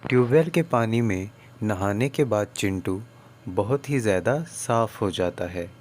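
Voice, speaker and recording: A man's voice: lowish voice, lively intonation, a little slow, darkish tone. A man reading scripted narration for a children's story as the narrator.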